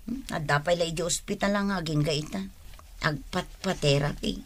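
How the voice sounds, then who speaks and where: A woman's voice: on the low side, moderate pace, a little hiss or hum, close to an everyday voice. An actress playing a debt-burdened married woman in an Ilocano radio drama, with a plaintive, sighing delivery and an Ilocano accent. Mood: troubled. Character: distressed married woman burdened by debts, remorseful wife.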